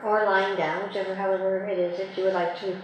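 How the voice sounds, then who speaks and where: Female meditation guide. Gentle, unhurried, long pauses. Very reverberant room, faint noise. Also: mid-range voice, level tone, dull audio. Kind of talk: guided meditation, soothing slow instruction.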